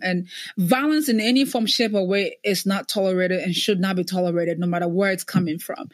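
Female podcast host, medium pitch, conversational and reflective, often tagging points with a question, very little room sound. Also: no room sound. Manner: reflective, punctuates points with "right?". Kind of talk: conversational interview, personal anecdote.

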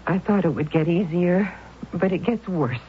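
An actress playing a devoted, worried middle-aged aunt with earnest, emotional pleading in a 1970s radio drama. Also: female voice, fairly low pitch, moderate pace, close to an everyday voice. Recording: dull old radio broadcast with faint hiss.

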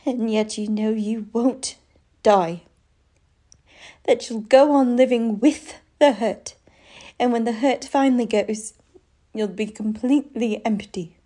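Gentle, mellow young gentlewoman played by an actress. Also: on the high side, slow, long pauses. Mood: anguished. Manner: tender, earnest, theatrical. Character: gentle, heartbroken young sister. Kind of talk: radio drama acting.

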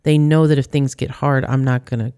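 A young adult woman answering interview questions, her voice dark and full of gravelly fry. She talks earnestly and at length, and the sound is close and dry. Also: extremely low pitch, level tone, brisk, dry recording. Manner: earnest and talkative. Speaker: guest, youth advocate. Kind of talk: casual interview chat.

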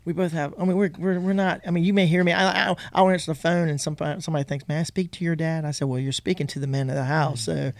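High-voiced man, emphatic podcast co-host. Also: quick pace, creaky, few pauses. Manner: animated storytelling, emphatic agreement.

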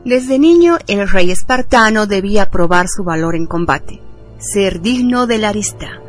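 A woman narrates a radio script in a medium-pitched voice. Light music runs underneath her scripted delivery. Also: expressive, measured pace. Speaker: radio presenter. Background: soft background music.

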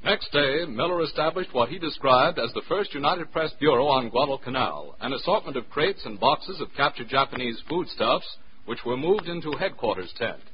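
A man's voice: medium pitch, expressive, moderate pace, few pauses. A man with an American accent narrating as a radio announcer in a solemn, clipped, dramatic 1940s style. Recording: muffled, noisy 1940s radio recording.

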